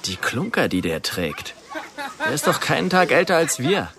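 A brash young crook. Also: male voice, on the high side, big pitch swings, normal speed, gravelly, crowd noise. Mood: sneering. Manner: brash and mocking. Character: coarse, scheming young male criminal.

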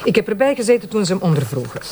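A fairly high-pitched Flemish man playing a sceptical police superior in a radio drama. He scolds and needles with dry sarcasm and reproach. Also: big pitch swings, rapid-fire, mellow, slight background noise. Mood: wry.

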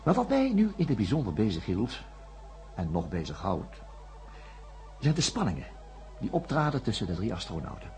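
Radio-drama narrator, male: measured, dramatic storyteller, lively intonation, music underneath. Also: fairly low pitch. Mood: measured, grave. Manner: deliberate storytelling with rhetorical pauses. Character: male narrator recounting an astronauts' disaster, measured and reflective.

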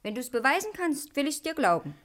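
Young princess, high-pitched, animated, wary, pert, stubborn, suspicious, fairy-tale radio drama. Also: female voice, fairly quick.

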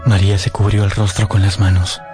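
Man playing an earnest lovesick young gentleman over music. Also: on the low side, level tone, brisk, close to an everyday voice. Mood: earnest narration. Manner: earnest, literary, formal. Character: lovesick young gentleman narrating his own romance. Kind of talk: radio drama narration and dialogue. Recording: music under the voice.

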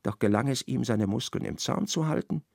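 A man narrating a literary radio play in a dramatic, expressive, scripted style. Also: mid-range voice, fairly quick, gravelly, dry recording, clean studio sound.

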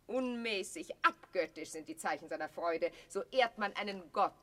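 A sharp-voiced, imperious queen. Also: medium pitch, lively intonation, dry recording. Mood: regal, self-satisfied. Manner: imperious, measured, declamatory. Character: haughty, cold, suspicious reigning queen. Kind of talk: classical German stage drama radio play.

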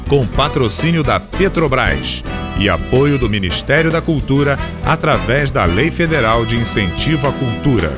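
Animated Brazilian male radio announcer. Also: medium pitch, normal speed, over music. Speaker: sponsor announcer. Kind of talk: scripted radio promo.

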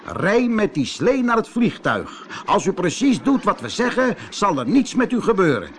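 A man's voice: sits high, sing-song, moderate pace, slight background noise, voice pushed up. A man with a Netherlands Dutch accent voicing a male thief from a gang in a children's storybook. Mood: commanding. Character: gang of male thieves, bossy then sheepish. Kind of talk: storybook character dialogue.

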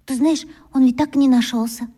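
A woman's voice: high voice, fairly even intonation, fairly quick, warm tone, husky. An actress playing a chatty, gushing, opinionated schoolgirl in a children's radio play. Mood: chatty, indignant.

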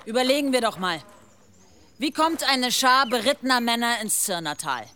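A woman's voice: on the high side, lively intonation, moderate pace, sharp tone. An actress playing a resolute female village war leader in a German radio drama, firm and commanding as she reasons with people. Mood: determined.

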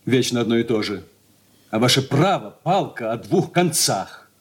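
An actor in a radio drama playing a snobbish, irritable grown son, curt and disdainful. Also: male voice, mid-range voice, animated, normal speed, slight background noise, natural voice. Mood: bored contempt. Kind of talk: Russian stage-style radio drama acting.

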